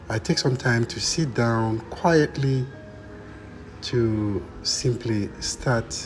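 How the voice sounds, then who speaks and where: A Ghanaian-accented vlogger talks at a slow, unhurried pace with music clearly playing underneath. The delivery is reflective and conversational, addressed straight to viewers, with key points repeated for emphasis. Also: medium pitch. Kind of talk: personal vlog narration to viewers.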